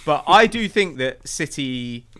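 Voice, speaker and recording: A man's voice: fairly high pitch, animated, unhurried, a bit bright. A man hosting a casual football podcast, talking in a chatty, conversational way.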